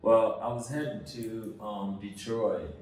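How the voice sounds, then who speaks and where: A man from Senegal, a guest in an interview, telling his story casually with many pauses, fillers and restarts. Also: fairly low pitch, steady tone, a little mellow. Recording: echoey room, mic at a distance, faint noise.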